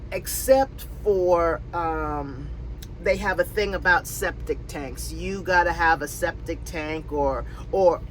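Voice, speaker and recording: A woman's voice: low-pitched, a little hiss or hum, poor audio. A woman in her fifties from Connecticut, casually answering viewer questions on her van-life vlog, rambling with many fillers and restarts. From Connecticut, USA. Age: 50s. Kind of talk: casual Q&A chat. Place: in or near her RV.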